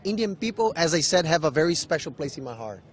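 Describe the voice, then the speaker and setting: A man from Brazil is on his first trip as a tourist. He speaks in a warm, effusive way. His voice sits a little high for a man. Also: big pitch swings, fast.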